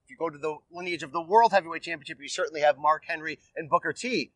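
A man hosting an interview, asking quick, upbeat questions and slipping in jokes. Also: a little high, a bit bright, no echo, far from the mic, poor audio. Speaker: interview host.

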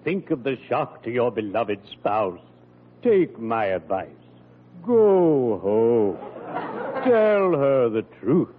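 A man's voice: medium pitch, very animated, takes it slowly, some chatter behind. Gloomy pompous undertaker man, old radio comedy, formal and droll, dull hissy recording. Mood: grave, droll. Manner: formal, pompous, punning delivery. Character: lugubrious, mock-solemn male undertaker, wry and pompous. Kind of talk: 1940s-50s radio comedy acting. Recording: muffled, noisy old radio recording, hum.

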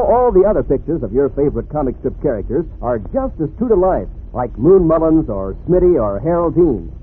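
An American man doing a chummy, folksy 1940s radio sales pitch aimed at children. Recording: vintage radio, narrow band, faint noise.

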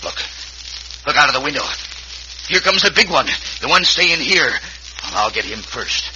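A man's voice: on the high side, sing-song, moderate pace. An actor playing a paranoid, violent madman in an old radio western, agitated and repeating himself obsessively. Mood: paranoid, menacing. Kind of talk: 1950s western radio acting. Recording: muffled, very noisy old radio recording.

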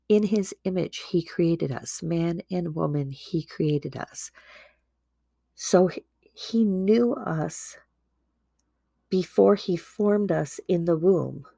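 A woman with a low, gravelly, mellow voice talks about faith like a casual podcast host, earnest and full of asides. She sounds a little stuffy from a starting cold. The recording is dry and close. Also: no room sound. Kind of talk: casual devotional talk.

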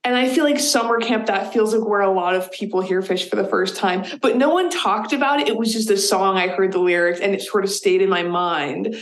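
A woman's voice: medium pitch, fairly quick, hardly any pauses. A woman from the Boston area, a comedian guest chatting casually in an interview, telling stories with lots of "like"s. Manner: chatty storyteller, many "like"s. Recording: roomy echo.

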